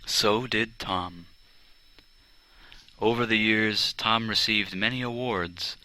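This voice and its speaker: Low-voiced, bright male audiobook narrator. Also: slow pace, rough recording. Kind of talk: scripted narration of a children's biography. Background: string quartet music.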